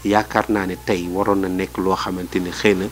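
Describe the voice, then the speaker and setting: A middle-aged Senegalese man answering a street interview indoors, measured and hesitant with many fillers. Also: deep voice, flat delivery, a little hiss or hum. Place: indoors in a small room.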